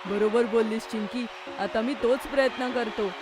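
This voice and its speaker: Woman voicing a high-pitched, determined cartoon schoolboy. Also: steady tone, moderate pace, noisy background, natural voice. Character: determined, plucky schoolboy football player Gattu. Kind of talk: children's cartoon dubbing.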